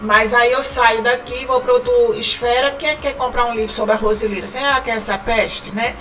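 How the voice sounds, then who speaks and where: Northeastern brazilian lady chatting on a podcast, bit high voice, sits back from the mic, music under it. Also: fairly even intonation, moderate pace, a bit bright, recorded from a distance. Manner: chatty, repeats words, laughs often. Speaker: guest, writing company founder. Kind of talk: casual podcast interview.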